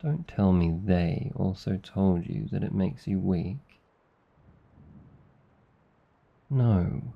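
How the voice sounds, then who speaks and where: Australian man as a low, gravelly, gentle demon. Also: dull audio, full character voice. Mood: concerned, reassuring. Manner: soft-spoken, slow, reassuring, rambling explanations. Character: gentle, caring male sleep paralysis demon. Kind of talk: ASMR roleplay, soft intimate comforting. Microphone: close ASMR microphone.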